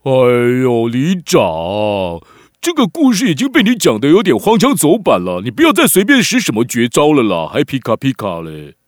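A man's voice: medium pitch, huge pitch swings, normal speed, no echo, clean studio sound, faint music behind. A man voicing a cheeky, sarcastic young boy who whines and complains in a children's radio drama. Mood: exasperated. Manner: whiny, exaggerated, complaining.

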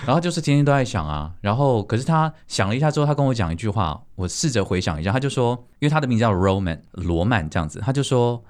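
A man's voice: mid-range voice, very animated, quick pace, few pauses. A man with a Taiwanese Mandarin accent hosting a discussion in a conversational, storytelling way. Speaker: host. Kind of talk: conversational anecdote and moderating.

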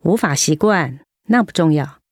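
Older-sister nurse, slightly low voice, Taiwanese Mandarin, earnest, gently admonishing, dry radio drama recording. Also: female voice, animated, normal speed, no echo. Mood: earnest, caring. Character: caring, firm older-sister nurse looking after a relative.

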